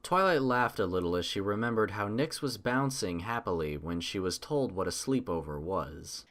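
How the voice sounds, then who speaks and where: A man's voice: on the low side, expressive, moderate pace, a bit bright. A man reading scripted story narration as an audiobook narrator.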